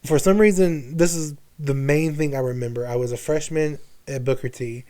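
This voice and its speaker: A man's voice: a little high, steady tone, normal speed, warm tone. Slangy young man in candid conversation. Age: 20s. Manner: slangy, sprinkled with swearing. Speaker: podcast guest.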